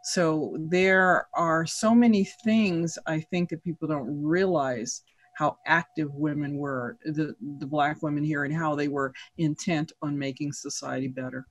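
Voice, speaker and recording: A man's voice: on the high side, fairly even intonation, a little slow, no echo. A man speaks as a researcher on a panel over a video call, earnest and listing examples. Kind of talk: panel discussion answers.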